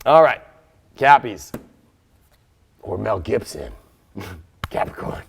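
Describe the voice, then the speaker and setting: A man with a raspy voice hosting a casual live tarot reading, rambling and excitable, with lots of fillers and sudden loud outbursts. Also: fairly low pitch, takes it slowly, a little hiss or hum. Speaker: tarot reader and astrologer.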